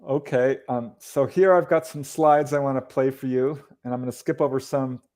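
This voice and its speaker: A man's voice: mid-range voice, fairly even intonation, moderate pace, mellow. A man co-hosting a video call, walking through slides with easy laughs and clarifying questions. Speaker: co-host.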